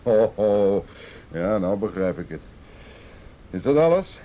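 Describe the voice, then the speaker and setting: A man's voice: on the low side, very animated, slow, warm tone, dull audio, slight background noise. An actor in a radio play voicing a defensive businessman, indignant and evasive, stammering under pressure. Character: defensive, blustering businessman, a stepfather accused by police. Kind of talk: naturalistic radio play acting.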